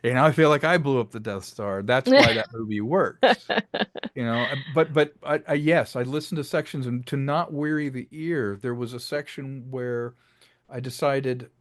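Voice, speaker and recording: A man's voice: medium pitch, moderate pace. A man speaking casually as an interviewed creator, explaining his craft and acting out imagined remarks as he goes. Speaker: interviewed filmmaker and audio drama creator.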